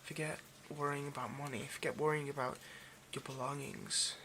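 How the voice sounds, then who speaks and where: A man playing a possessive, condescending rich vampire in an intimate ASMR roleplay, domineering and hushed, often slipping into a whisper. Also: mid-range voice, steady tone, normal speed, background noise, close to an everyday voice. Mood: smugly protective.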